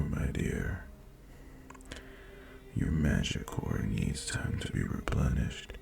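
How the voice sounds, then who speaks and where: A man playing an ancient dragon familiar in an intimate roleplay audio, seductive and possessive yet tender, murmuring formally in a gravelly, breathy near-whisper. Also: extremely low pitch, a little mellow, full character voice. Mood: soothing, commanding.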